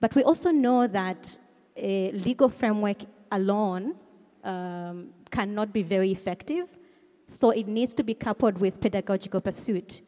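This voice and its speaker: Woman lawyer, mellow tone, quick speech, long hall reverb. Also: medium pitch. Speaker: lawyer, executive director. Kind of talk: speaking at a panel.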